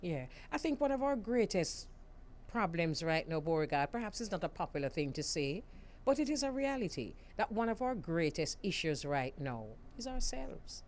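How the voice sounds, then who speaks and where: A woman with a Jamaican accent hosting a radio talk show, emphatic and quick to laugh. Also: fairly low pitch, slight background noise. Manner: lively, laughs often, emphatic. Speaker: radio host.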